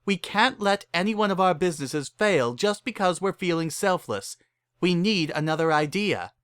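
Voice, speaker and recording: A narrator voicing a refined, earnest female pony with a genteel, measured and composed manner. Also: mid-range voice, expressive, fairly bright tone, clear, resonant tone, dry recording, clean studio sound, voice pushed up, lightly acted. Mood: firm.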